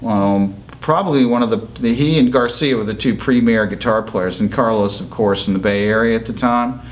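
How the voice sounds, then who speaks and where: A middle-aged man from Massachusetts, a session bassist, telling his story in an interview, with many pauses and fillers. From Massachusetts, USA. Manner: rambling, halting with many fillers.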